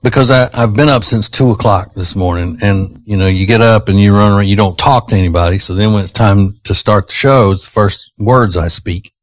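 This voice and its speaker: A man's voice: fairly low pitch, brisk, few pauses, no room sound. A male radio host chatting casually on a morning show, his voice slightly strained. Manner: chatty, teasing, rambling. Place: radio studio. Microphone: studio microphone.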